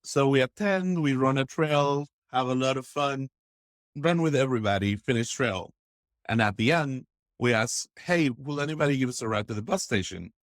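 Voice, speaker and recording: A man from Texas, a podcast guest, telling stories in a casual, enthusiastic and expressive way. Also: mid-range voice, moderate pace, no echo, clean studio sound. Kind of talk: casual interview storytelling.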